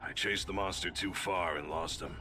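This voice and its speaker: A man's voice: low-pitched, steady tone, moderate pace, music in the background, lightly acted. A man voicing an earnest, weary young man searching for lost children in a video game. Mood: worried.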